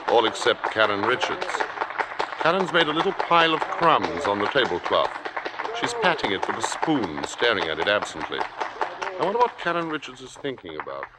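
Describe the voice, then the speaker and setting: An actor playing a suave, cynical theater critic narrating in an arch, deliberate way in a 1950s radio drama. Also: male voice, medium pitch, lightly acted. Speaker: narrator in character. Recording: noisy old radio recording, loud crowd.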